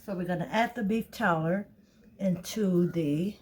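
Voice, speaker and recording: A woman with a tired, raspy voice, talking casually through a soap-making tutorial and thinking aloud as she weighs ingredients. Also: lowish voice, darkish tone, slight background noise. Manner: slow, chatty, thinking aloud while weighing. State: tired voice, clearing throat. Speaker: soap maker.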